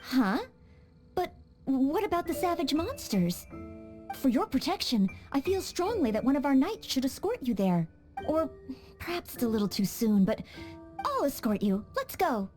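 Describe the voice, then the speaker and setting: Polite young maid knight, high voice, lively, formal and eager, faint music behind. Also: female voice, moderate pace. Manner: courteous, formal, eager to serve.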